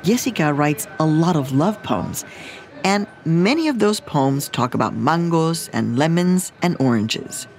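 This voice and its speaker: A woman's voice: low voice, expressive, unhurried, darkish tone. A woman hosting a radio program, narrating a playful story from a field trip in scripted voice-over. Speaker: radio host. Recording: narration with music underneath.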